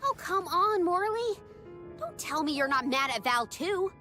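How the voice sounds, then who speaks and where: Bright, high-pitched, fiery young heroine. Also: female voice, very animated, a little slow, faint music behind, exaggerated voice. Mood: angry, scornful. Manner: blunt, scolding. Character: brash, hot-tempered young woman adventurer. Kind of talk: video game cutscene acting.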